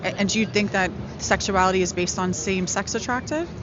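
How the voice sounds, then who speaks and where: A woman conducting a street interview, asking probing questions in a halting way, often restarting. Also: medium pitch, highs cut off, music in the background. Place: outdoors in a city park.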